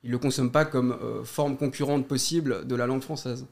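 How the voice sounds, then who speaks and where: A man, a YouTube video maker, arguing in a debate, measured and argumentative, stammering on restarts. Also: mid-range voice, fairly even intonation, creaky. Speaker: YouTuber guest.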